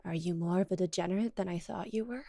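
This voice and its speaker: A woman's voice: on the low side, fast, creaky, warm tone, no room sound, clean studio sound. A woman playing a jealous, possessive young cat girl girlfriend in an ASMR roleplay, speaking to the listener in a coy, accusing yet affectionate way. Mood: suspicious, probing.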